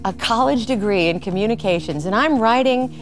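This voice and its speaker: A woman's voice: a little high, brisk, faint music behind. A middle-aged woman who performs in a comedy troupe, chatting casually in an interview.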